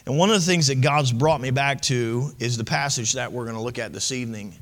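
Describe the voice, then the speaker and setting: A man's voice: medium pitch, brisk, big-room sound. A man in his late thirties, preaching a sermon as pastor, impassioned and conversational with asides to the congregation. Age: 30s.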